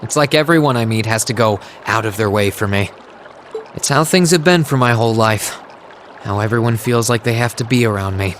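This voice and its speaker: A man's voice: on the low side, a little fast, a bit bright, slight background noise, lightly acted. Man acting earnest young brother, water trickling behind. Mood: self-loathing, dejected. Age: young adult. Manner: sincere, reflective. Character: earnest, self-doubting teenage brother, a student. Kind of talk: video game cutscene voice acting. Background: trickling water ambience.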